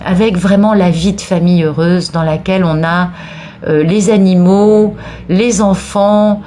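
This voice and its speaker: A woman's voice: on the low side, fairly even intonation, measured pace, warm tone, slight background noise. A woman with a cold giving a tarot card reading for viewers, talking in an encouraging way with frequent restarts and repeated words. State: has a cold, sneezing. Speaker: tarot reader.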